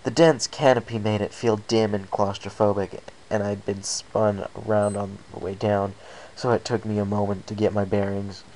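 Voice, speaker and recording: A man with a low, creaky voice reading a scripted first-person adventure story as narrator. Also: flat delivery, moderate pace, a little mellow, poor audio. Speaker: amateur story reader. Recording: faint background hiss.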